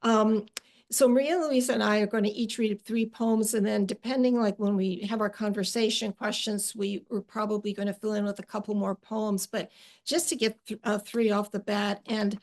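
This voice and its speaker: Middle-aged female poet, hesitant conversational delivery, slow deliberate reading of poems. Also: medium pitch, steady tone, a little mellow. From Ukrainian-American family.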